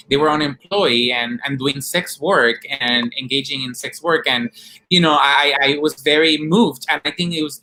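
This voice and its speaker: A man's voice: medium pitch, bright, forceful tone, poor audio. A man from Rico, answering earnestly as a documentary director and activist in an interview. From Puerto Rico.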